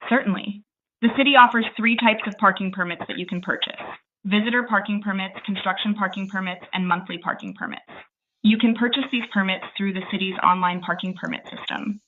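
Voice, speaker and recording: A woman's voice: medium pitch, fairly even intonation, brisk. A woman's synthetic assistant voice on a phone line, giving polite, formal, scripted customer service answers without any hesitation. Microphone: phone call. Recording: tinny phone line with slight noise.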